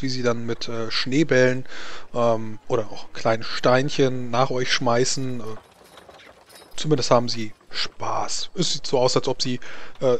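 A man narrating a tabletop role-playing game as game master, in a halting, deliberate manner. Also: mid-range voice.

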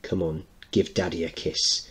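A man's voice: deep voice, level tone, moderate pace, breathy edge. A man voicing a child who plays at being a swaggering, commanding American tough guy, in a play reading. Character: children playing tough American lawman, cowboy, sergeant.

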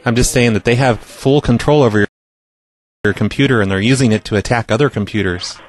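A man's voice: medium pitch, brisk. A man who hosts a prank call show, talking in a casual, informal, needling way with some hesitation. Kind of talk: casual prank calling and chat.

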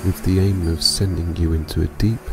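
Man, low gravelly voice, calm and soothing, scripted sleep meditation, music behind. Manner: calm, soothing, unhurried delivery. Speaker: meditation guide.

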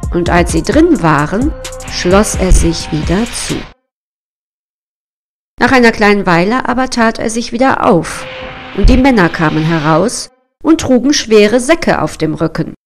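A woman storyteller narrating a scripted fairy tale in a measured, expressive storybook manner. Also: mid-range voice, faint music behind.

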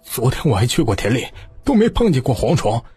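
A man's voice: medium pitch, very animated, brisk, mellow, slightly rough, soft background music. A man voicing a panicky, disbelieving townsman of old China with trembling, alarmed exclamations in an audiobook. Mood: frightened disbelief.

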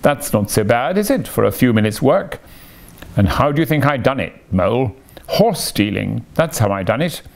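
A man voicing a boastful, excitable, theatrical toad in an animal storybook. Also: mid-range voice, normal speed, creaky. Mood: gloating. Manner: theatrical bragging, self-pitying wailing. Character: boastful, excitable, easily weeping male toad.

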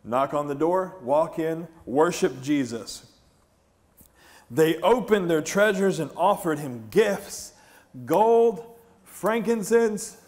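Higher-pitched American pastor preaching. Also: male voice, takes it slowly. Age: middle-aged. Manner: animated storytelling with questions to the congregation. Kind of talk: conversational church sermon. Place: large church stage, indoors.

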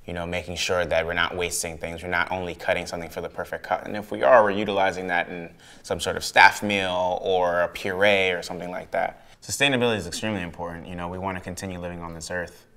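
Low-voiced young man chef, earnest. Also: little pitch movement, quick pace, few pauses, sharp tone. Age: young adult. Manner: earnest, emphatic about sustainability. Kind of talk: documentary interview. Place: living room of a residence. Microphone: lapel.

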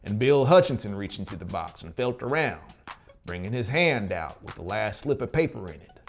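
A man's voice: on the low side, very animated, moderate pace, gravelly. A man in his thirties with a Southern American accent, narrating a story dramatically as an audiobook reader. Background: music.

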